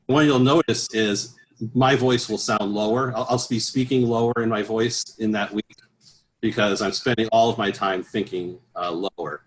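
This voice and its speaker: Low-voiced easygoing man, halting speech. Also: fairly even intonation, poor audio. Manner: easygoing, many restarts and "uh"s, chuckles at his own stories. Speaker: choral bass singer, guest. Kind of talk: relaxed interview answers.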